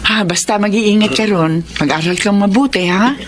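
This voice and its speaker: A woman's voice: medium pitch, moderate pace, crowd noise, natural voice. A woman playing a caring, fussing mother in a Filipino radio drama.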